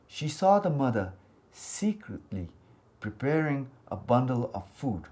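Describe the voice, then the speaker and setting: Husky, animated male storyteller. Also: medium pitch. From Bhutan. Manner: dramatic, expressive. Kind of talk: scripted folktale narration for children.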